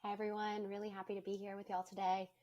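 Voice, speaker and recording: A woman's voice: medium pitch, completely flat delivery, fast talker, warm tone, dull audio. A woman introducing herself as a panelist, speaking earnestly and in a friendly way in long, jargon-filled sentences. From United States. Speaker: panelist, policy officer at a nonprofit.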